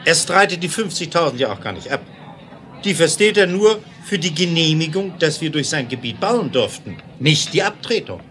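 An actor playing a sober, unflappable railroad aide in a 1970s German radio play, speaking in a precise, factual way. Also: male voice, a little high, very animated, moderate pace, a bit bright, a little hiss or hum. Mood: firm.

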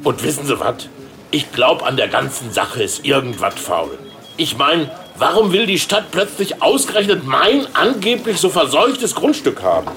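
An actor playing a blunt, scheming, stubborn sausage factory boss in a radio play, with a Ruhr area German accent. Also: male voice, fairly high pitch, sing-song, moderate pace, a bit bright. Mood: aggrieved, suspicious. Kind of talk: colloquial radio play dialogue.

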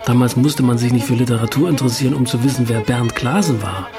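A man's voice: mid-range voice, fast, roomy sound, music in the background, close to an everyday voice. An actor as a guarded, wry middle-aged male writer. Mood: reflective. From East Germany. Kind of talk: naturalistic radio drama dialogue.

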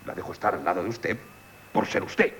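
An actor playing a vain, effusive marquis in love in Castilian Spanish radio theatre. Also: male voice, low-pitched, a little fast, fairly bright tone, slight background noise. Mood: boastful, lovestruck. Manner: pompous, effusive, exclamatory. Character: boastful, gushing, jealous, vain marquis in love.

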